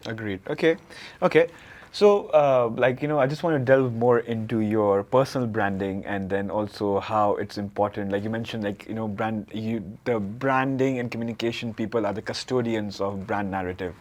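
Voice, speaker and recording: A man's voice: fairly low pitch, fairly even intonation, normal speed, darkish tone. A man hosting a podcast, asking questions in a hesitant way with many fillers. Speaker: podcast host. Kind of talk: casual interview chat.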